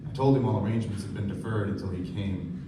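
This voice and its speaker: A man's voice: on the low side, quick pace, noisy background, close to an everyday voice. A man playing an earnest, sombre narrator in a live radio play, delivering scripted literary prose and dialogue. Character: earnest, sombre male narrator and loyal friend. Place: live stage performance. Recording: echoey distant stage.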